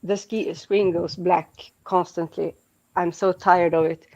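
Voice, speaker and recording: A woman's voice: fairly low pitch, steady tone, slow, dark tone, highs cut off. A Swedish woman chatting casually in English as a second language, hesitant with many restarts and fillers. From Sweden. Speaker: guest. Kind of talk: casual chat.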